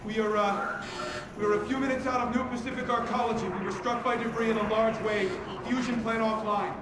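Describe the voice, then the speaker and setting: Man as high-strung pilot calling mayday through noise. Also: fairly even intonation, fairly quick, lightly acted. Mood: panicked, urgent. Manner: urgent, strained radio reporting. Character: alarmed male spaceship pilot sending a distress call. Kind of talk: dramatic video game radio acting. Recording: echoey distress transmission under loud noise.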